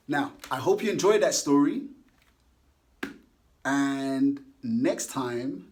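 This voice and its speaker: Guy reading a kids picture book out loud, slow and bouncy, keeps asking the little ones questions. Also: medium pitch. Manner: animated, coaxing, asks the kids questions. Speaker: children's story reader.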